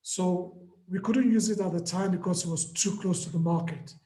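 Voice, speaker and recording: Hoarse male trading mentor, high voice, patient and repetitive, echoey room. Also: fairly even intonation, moderate pace, mellow. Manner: patient, repeats key points. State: hoarse, lost voice. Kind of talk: live trading lesson.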